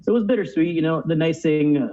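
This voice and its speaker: A man's voice: fairly high pitch, quick pace, warm tone, lo-fi sound. A man in his thirties from Cuba, raised in the USA, chatting reflectively as a podcast guest with frequent "you know". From born in Cuba, moved to the USA as a child. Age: 30s. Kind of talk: casual conversational interview.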